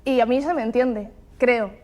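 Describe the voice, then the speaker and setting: Andalusian woman, actress, interview, slightly high voice, thoughtful delivery. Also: moderate pace, a little mellow. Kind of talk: interview talk.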